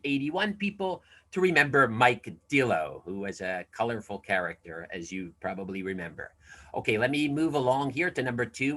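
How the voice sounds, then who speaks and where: A man's voice: mid-range voice, a bit bright. An older man running a casual quiz on a video call, chatty and self-deprecating, with many asides and fillers. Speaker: alumni event quiz presenter. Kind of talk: casual online presentation.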